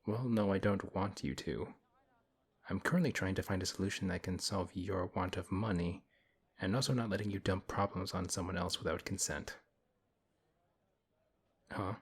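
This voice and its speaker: Man acting as a deep, husky, principled paladin. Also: fairly even intonation. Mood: serious, questioning. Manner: measured, earnest, hesitant pauses. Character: big strong male paladin, principled, gently protective. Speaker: audio roleplay performer. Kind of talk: fantasy audio roleplay, one-sided intimate conversation.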